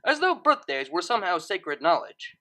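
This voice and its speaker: A woman reading scripted fiction as narrator in an expressive storytelling manner. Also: lowish voice, normal speed.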